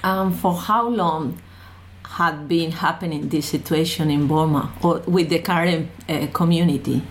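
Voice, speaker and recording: A woman with a slightly low voice hosts a community radio interview. She introduces the programme and puts questions to her guest. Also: fairly even intonation, measured pace, slight background noise, low-quality recording.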